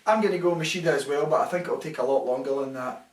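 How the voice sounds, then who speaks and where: A man's voice: fairly high pitch, quick pace, reverberant, far from the mic. A Scottish man co-hosting a casual comic fight-sports chat, making dry, wry quips. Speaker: co-host.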